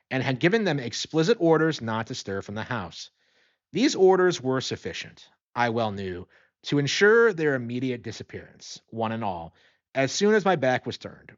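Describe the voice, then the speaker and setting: A man's voice: medium pitch, dull audio. A man narrating a story as an audiobook reader in a dramatic, scripted style.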